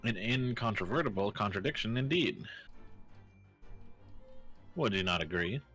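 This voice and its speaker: A man voicing a theatrical, pompous gentleman detective in a fan dub of a mystery game, speaking in formal, elaborate phrases. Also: on the low side, animated, a little slow, breathy edge, soft background music, full character voice. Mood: grandly accusing. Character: theatrical eccentric gentleman detective, grandly accusing.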